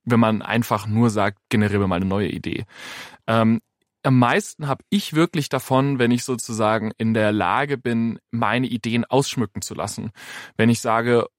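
Man, medium pitch, casual explainer, hesitant restarts, close dry recording. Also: fairly even intonation, normal speed, dry recording. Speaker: AI writing expert and guest. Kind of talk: explanatory casual studio chat.

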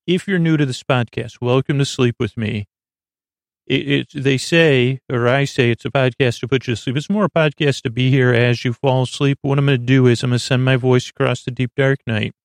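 A man with a creaky voice hosting a sleep podcast, meandering and hesitant as he drifts into tangents to lull listeners. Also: mid-range voice, fairly even intonation, brisk, no echo, clean studio sound. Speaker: podcast host. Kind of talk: rambling bedtime monologue meant to lull listeners to sleep.

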